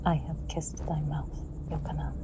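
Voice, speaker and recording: A woman's voice: sits low, level tone, moderate pace, heavy background noise. A woman playing an obsessive, lovestruck young princess, cruel and bereft, in a theatrical classical audio drama. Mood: dark rapture.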